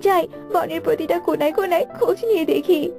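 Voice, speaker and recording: Woman as a high fretful mother bird. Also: a little fast, vocal fry, darkish tone, soft background music. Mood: anxious determined. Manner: fretful, questioning. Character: anxious, resolute mother bird missing her daughter. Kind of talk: children's fairy-tale cartoon voice.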